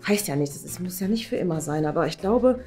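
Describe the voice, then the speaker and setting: A woman's voice: lowish voice, expressive, fast, dark tone, faint music behind. A woman playing a pragmatic school head in a comedy sketch, talking in a hesitant, colloquial way with mild exasperation. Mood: disappointed. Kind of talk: improvised comedy sketch acting.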